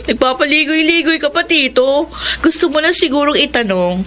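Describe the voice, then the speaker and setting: A woman's voice: high-pitched, moderate pace, a bit bright, a little hiss or hum. Playful, chatty college girl. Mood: polite, evasive. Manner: playful, colloquial. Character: teasing, chatty fourth-year college girl, loyal friend. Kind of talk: radio drama acting.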